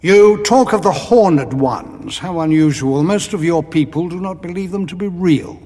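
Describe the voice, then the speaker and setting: An actor playing a haughty alien zealot who serves ancient dark powers, speaking formally and solemnly in an audio drama. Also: male voice, high voice, a little slow, long reverb tail. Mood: solemn, portentous.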